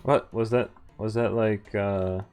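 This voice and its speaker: A man's voice: lowish voice, steady tone, slow, darkish tone, clean tone. A man streaming a visual novel, reading the text aloud and adding casual commentary. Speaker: game streamer. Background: faint game music.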